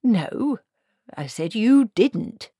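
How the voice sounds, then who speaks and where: A woman's voice: fairly high pitch, big pitch swings, drawn-out words, mellow, husky, no room sound, studio quality, voice pushed up, heavily acted. A woman voicing a miserable, reluctant male wizard in a storybook, fussy, protesting and formally polite.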